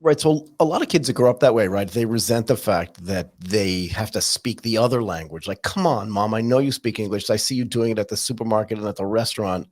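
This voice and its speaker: Man, interview host, husky, lowish voice, lively storytelling, conversational. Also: brisk, few pauses. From California. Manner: animated storyteller acting out quoted dialogue. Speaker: podcast host.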